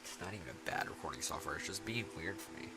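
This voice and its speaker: A man's voice: deep voice, quick pace, very noisy. A man giving casual let's play commentary on a video game in an offhand, mumbling way. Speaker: gaming video creator. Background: game music.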